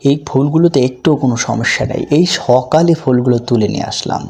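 A man's voice: medium pitch, fast, gravelly, a little mellow, breathy edge, faint music behind. A man voicing an earnest, hopeful poor street vendor who thinks aloud in a folk tale cartoon. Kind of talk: cartoon voice acting.

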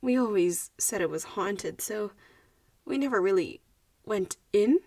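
A woman's voice: medium pitch, lively intonation, unhurried, mellow, no room sound, studio quality, far from the mic. A woman voicing an anxious, protective popular vampire schoolgirl in an audio roleplay, hesitant and reassuring as she speaks to the listener. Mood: worried, uncertain.

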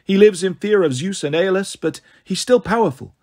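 A man acts out a cold snow goddess from a fantasy storybook. The voice sits high and stays soft, gentle and purring. She is haughty and condescending, taunting with cruel, silky smugness. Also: moderate pace. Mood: gloating, condescending. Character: beautiful cold snow goddess, haughty, sadistically smug villainess.

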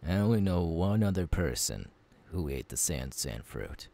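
A man voicing a gruff, easygoing pirate captain in an ASMR roleplay, gravelly and unhurried, talking intimately to the listener. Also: low-pitched, big pitch swings, mellow, exaggerated voice. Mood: relaxed, welcoming. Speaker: voice actor.

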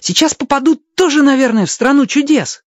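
A man voicing an excitable, curious young boy hero in a radio story, talking with eager wonder. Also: very high-pitched, brisk, no echo, lo-fi sound, pitched above the performer's own voice. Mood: excited.

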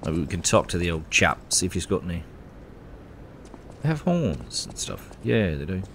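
Male gaming host, very low voice, casual, wry, jokey, repetitive, faint background noise. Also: very animated, moderate pace, vocal fry, a bit bright. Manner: wry, jokey, repeats phrases for effect. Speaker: let's play host. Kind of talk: casual gameplay commentary.

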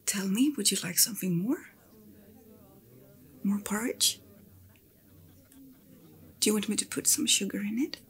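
A woman playing an attentive innkeeper in a fantasy tavern ASMR roleplay, speaking in a hushed, breathy, often whispered voice. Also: mid-range voice, lively intonation. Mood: gently hospitable. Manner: hushed, unhurried, attentive hostess talk. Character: Edna, attentive female innkeeper serving guests in fantasy tavern.

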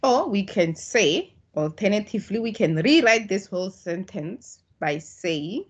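Slow, husky South African woman tutor. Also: mid-range voice, lively intonation, highs cut off. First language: Tswana. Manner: slow, patient explaining with restarts. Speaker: statistics tutor. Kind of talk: online tutorial. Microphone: video call.

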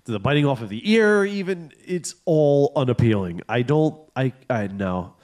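A man's voice: medium pitch, normal speed. A man co-hosting a late-night comedy podcast, bantering loosely with mock-posh asides and frequent laughter. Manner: animated, mock-posh bits, lots of laughing. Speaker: podcast co-host.

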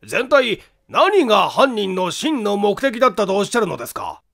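A doubtful police inspector from a mystery audiobook, acted out by a man. His voice is high and creaky, swinging widely as he probes with startled questions. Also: very animated, moderate pace, fairly bright tone, full character voice. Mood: probing. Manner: probing, incredulous questioning. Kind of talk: Japanese mystery audiobook acting.

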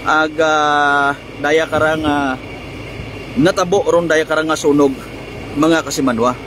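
A man with a Filipino accent gives live, improvised news commentary as a field reporter, speaking haltingly and directly to viewers on a phone. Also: a little high, level tone. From Philippines. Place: outdoors on a road at a fire scene. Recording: noisy outdoor roadside with vehicle noise.

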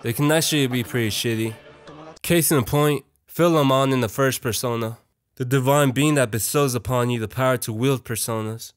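A man's voice: medium pitch, normal speed, no room sound. A young man narrating a casual, slangy video essay in an irreverent, conversational, profane way.

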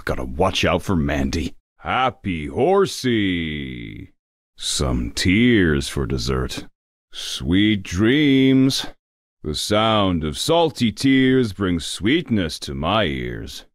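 Grumpy game unicorn, sardonic, theatrical, very slow delivery, swooping pitch. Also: male voice, dry recording, clean studio sound, heavily acted. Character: grumpy, sarcastic male unicorn candy-shop worker. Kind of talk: video game character voice lines.

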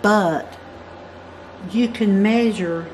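A woman's voice: mid-range voice, drawn-out words, darkish tone, a little hiss or hum. A woman teaching a pattern-drawing tutorial, chatting through each step as she works at a table. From United States. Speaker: craft instructor. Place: indoors at a work table.

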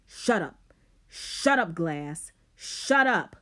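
A woman's voice: fairly low pitch, lively intonation, slow, dark tone, slightly rough, exaggerated voice. A woman voicing an enraged teenage bully boy. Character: furious teenage boy bully swinging a bat.

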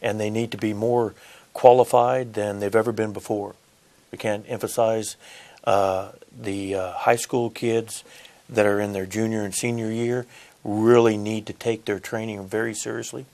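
A man's voice: lowish voice, little pitch movement, normal speed, a little mellow. A middle-aged man, a union apprenticeship coordinator answering in a studio interview, earnest and deferential on a lapel mic. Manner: earnest, deferential, says "yes, sir".

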